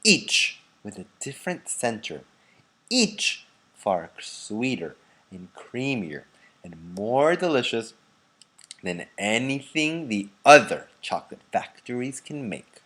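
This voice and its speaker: A man's voice: mid-range voice, big pitch swings, slow, a bit bright, slightly rough, exaggerated voice. A man voicing a very old grandfather in a children's storybook, eager and boastful, speaking in emphatic exclamations. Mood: awed, excited. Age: nineties. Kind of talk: children's storybook read-aloud.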